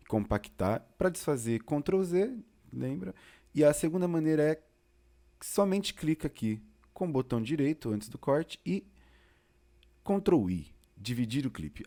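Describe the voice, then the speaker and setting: A man with a Brazilian accent giving an informal software tutorial, explaining casually as an instructor. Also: medium pitch. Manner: casual, explanatory, uses diminutives.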